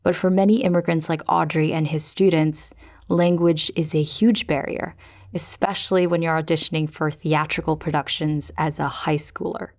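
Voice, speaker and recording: A woman's voice: low voice, fairly even intonation, moderate pace, darkish tone. A woman from the Philippines narrating a podcast as host, reading scripted personal storytelling.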